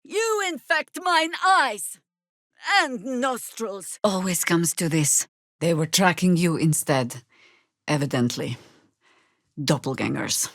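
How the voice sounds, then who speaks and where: A woman playing a wry, battle-worn middle-aged druid in a video game, with sardonic, world-weary, deliberate phrasing. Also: fairly low pitch, animated, fairly bright tone, no room sound, clean studio sound, full character voice. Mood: angry, scornful. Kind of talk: video game character acting.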